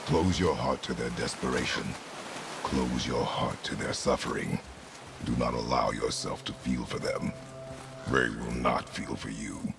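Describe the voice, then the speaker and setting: A grim, burdened warrior father in a dramatic video game. His voice is extremely low and full of gravel. He speaks in terse, formal commands, slowly and heavily, over a music score. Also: steady tone, heavily acted. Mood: stern, commanding. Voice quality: very deep gravelly voice. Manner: terse, commanding, formal phrasing without contractions.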